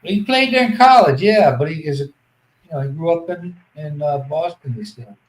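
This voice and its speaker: A man's voice: a little high, lively intonation, roomy sound, rough recording. Rambling, joking podcast man. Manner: rambling, joking, halting restarts. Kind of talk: casual podcast banter.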